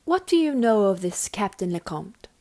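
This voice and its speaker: A woman as a romantic young noblewoman, medium-pitched. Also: big pitch swings, normal speed, warm tone, lightly acted. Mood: curious. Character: devoted, gently teasing young noblewoman engaged to marry. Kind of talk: amateur period audio drama acting.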